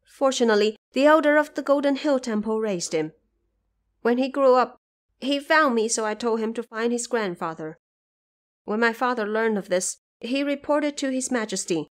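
A woman's voice: on the high side, normal speed, natural voice. A woman narrator voicing a devoted noble wife in an audio drama, earnest and tender as she recounts an ordeal.

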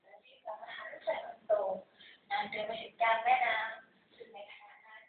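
A woman's voice: a little high, little pitch movement, brisk. A young female student, in her teens, narrates a moral tale in a scripted, dramatic contest style. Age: teenager. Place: school competition room. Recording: distant, phone-like, echoey hall with noise.

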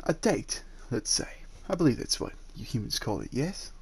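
Male actor as possessive dragon suitor in human form, mid-pitched, gently coaxing, halting, for roleplay audio drama. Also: big pitch swings, breathy edge. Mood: tender, apologetic. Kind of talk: roleplay ASMR audio drama, addressing the listener.

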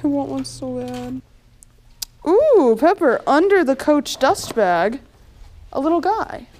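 A woman's voice: sits high, very animated, takes it slowly, darkish tone. A young adult woman chatting casually while browsing items. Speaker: vlogger browsing an auction preview. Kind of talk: casual chat. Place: large bare indoor hall. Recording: noisy room ambience, action-camera sound.

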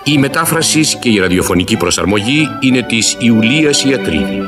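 A male radio announcer reads scripted credits with clear music behind him. His intonation is animated and moves freely up and down. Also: mid-range voice. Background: loud instrumental music.

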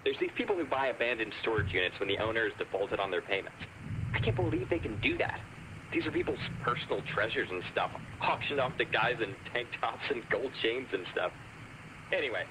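A man's voice: medium pitch, background noise, lightly acted. A man playing a chatty, cheerful bargain hunter calling a radio show in a video game. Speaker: radio caller.

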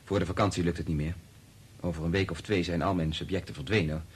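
A man playing a principled research doctor in a radio drama, arguing in an emphatic, deliberate, exasperated way. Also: low voice, fairly even intonation, slight background noise, close to an everyday voice. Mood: firm, measured. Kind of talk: Dutch radio drama acting.

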